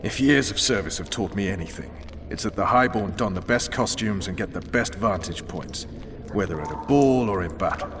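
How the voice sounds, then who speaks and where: An actor playing a cynical fantasy game military interrogator, blunt, sardonic and authoritative. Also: male voice, deep voice, expressive, moderate pace. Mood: sardonic, stern. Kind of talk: dramatic fantasy video game acting. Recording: reverberant hall with clear background noise. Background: noise.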